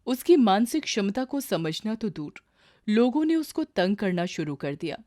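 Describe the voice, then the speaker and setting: A woman's voice: medium pitch, very animated, normal speed, warm tone. A woman reading a scripted story as its narrator.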